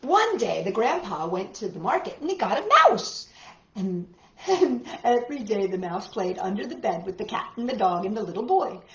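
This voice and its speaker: A woman's voice: mid-range voice, very animated, normal speed. A woman hosting a children's story time, reading aloud in simple phrases with dramatic emphasis. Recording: roomy, dull lo-fi home recording.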